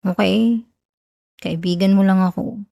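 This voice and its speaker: A woman playing a shy, whiny tsundere best friend in an ASMR romance roleplay, with a Filipino accent and a stammering, halting delivery. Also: medium pitch, fairly even intonation, gravelly, dark tone, dry recording, studio quality. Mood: shy, vulnerable.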